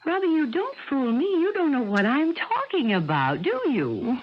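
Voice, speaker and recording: An actress playing a fussy, affectionate but sharp-tongued middle-aged American mother in a radio drama, chiding and wry. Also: high voice, huge pitch swings, normal speed, a little hiss or hum. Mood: wry, needling. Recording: muffled old radio broadcast.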